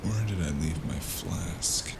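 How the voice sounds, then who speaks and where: A man playing a world-weary, wry noir freelancer in a gravelly voice, narrating a cyberpunk fantasy audio drama in the first person. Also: low-pitched, very noisy, full character voice. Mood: brooding, wry. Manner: wry, brooding, unhurried. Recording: constant loud music underneath. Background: loud music.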